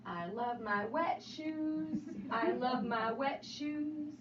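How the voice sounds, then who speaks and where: Woman, children's storybook reader. High pitch, unhurried, sing-song delivery. Dull, roomy recording with faint noise. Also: recorded from a distance. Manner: sing-song, repeating refrains and asking questions. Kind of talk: animated children's read-aloud.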